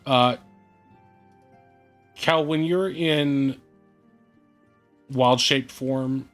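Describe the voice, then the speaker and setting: A man's voice: medium pitch, steady tone, a bit bright. Hesitant male game master, faint music behind. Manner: measured, with many hesitant fillers. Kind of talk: running an online tabletop game.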